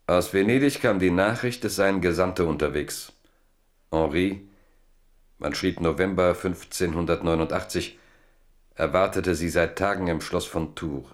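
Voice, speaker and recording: An actor narrating a historical radio play in standard German, as a grave chronicler with measured, deliberate phrasing. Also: male voice, low voice.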